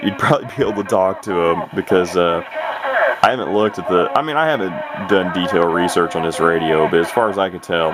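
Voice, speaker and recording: A man giving a casual, unscripted walkthrough as a hobbyist, explaining things in a rambling, matter-of-fact way. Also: low-pitched. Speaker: radio hobbyist presenter. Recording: clear noise behind, amateur camera audio.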